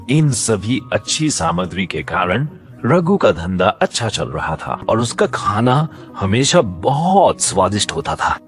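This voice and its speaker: A man narrating a scripted cartoon story as a storyteller. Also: lowish voice. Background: faint music.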